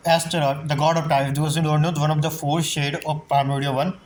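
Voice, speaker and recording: A woman's voice: low voice, flat delivery, brisk, a little mellow, slight background noise. A woman giving casual reaction commentary as a gamer, musing aloud and repeating phrases. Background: game music.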